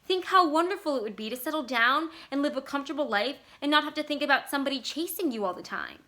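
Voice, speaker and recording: An anxious old grandmother acted out by a woman reading a story, genteel but desperate. Her voice sits high and bright, and she pleads dramatically with whoever will listen. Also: lively intonation, fast talker. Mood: desperate pleading.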